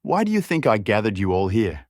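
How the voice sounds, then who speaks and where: A man's voice: lowish voice, very animated, a little fast, darkish tone, dry recording, clean studio sound. A man voicing a bitter, mocking porcelain guardsman, a murderous villain with an aggrieved, taunting way of talking in an audiobook story. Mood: mocking, gloating.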